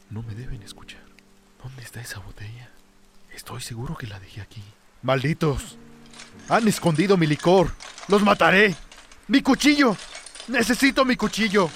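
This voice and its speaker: An actor playing a lonely, tormented drunk sinking into fear and madness in a brooding, theatrical radio drama monologue. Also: male voice, mid-range voice, natural voice. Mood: hushed, furtive, then enraged, screaming. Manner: brooding, theatrical first-person soliloquy.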